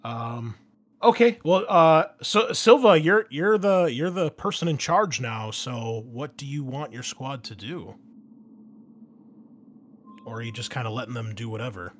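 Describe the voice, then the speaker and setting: A man's voice: mid-range voice, animated, a little slow. A man hosting and game mastering a tabletop roleplaying game in a casual, explanatory, conversational way. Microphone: close microphone with pop shield. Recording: clearly noisy background.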